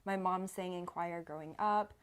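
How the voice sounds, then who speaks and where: Woman guest from taiwan just chatting, telling stories casually, voice a bit on the low side. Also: steady tone, normal speed, smooth. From rural northern Taiwan. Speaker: guest, multidisciplinary artist. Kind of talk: casual conversational storytelling.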